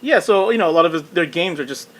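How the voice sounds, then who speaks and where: A man's voice: on the high side, lively intonation, fast, fairly bright tone. A man giving his opinions casually as a panelist in an informal podcast discussion.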